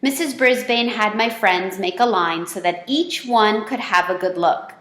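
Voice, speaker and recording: A woman's voice: medium pitch, a little slow, fairly bright tone. A woman reading a children's storybook aloud as the storyteller. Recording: echoey, roomy sound.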